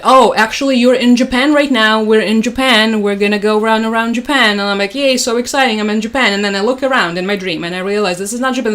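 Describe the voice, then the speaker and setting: Young woman rambling on a livestream, lots of uhs and likes, middle pitch. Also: fairly bright tone. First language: Russian. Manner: chatty, rambling with frequent fillers and restarts. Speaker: livestream host. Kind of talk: casual livestream chat.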